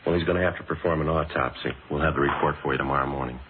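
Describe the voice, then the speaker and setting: An actor playing a businesslike police detective with a clipped, deadpan, polite manner, in an old radio crime drama. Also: male voice, a bass voice, rapid-fire. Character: businesslike, matter-of-fact male police detective sergeant. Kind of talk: 1940s-50s radio drama acting and narration. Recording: noisy, phone-like old radio recording.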